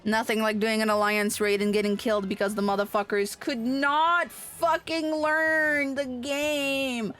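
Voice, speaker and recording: A woman's voice: on the high side, steady tone, unhurried, hardly any pauses, fairly bright tone, faint music behind. A woman livestreaming an online game, chatting casually and ranting with plenty of exasperated swearing. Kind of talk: casual gaming stream chat.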